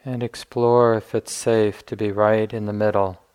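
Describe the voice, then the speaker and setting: A man leading a guided meditation as a teacher, speaking gently in unhurried phrases with long pauses. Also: lowish voice, flat delivery, clean tone.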